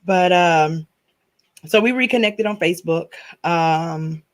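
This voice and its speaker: A woman's voice: fairly low pitch, slow. A woman hosting a podcast, chatting casually, teasing her guest and laughing often. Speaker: podcast host. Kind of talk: casual chat.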